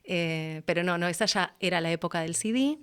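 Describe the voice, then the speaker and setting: Middle-aged female, Rioplatense accent. Casual, expressive conversational storyteller in an interview. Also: lowish voice, brisk, clear, resonant tone. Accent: Argentine Rioplatense. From Argentina. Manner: expressive, chatty, acts out her own past thoughts. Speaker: interview guest, voice actress and announcer.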